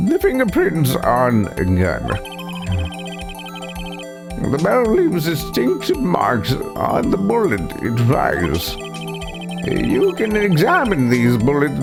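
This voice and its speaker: An old, flustered judge from a courtroom drama game. The voice jumps high and swings wildly as he stumbles earnestly through his words. Clear music runs underneath. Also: exaggerated voice.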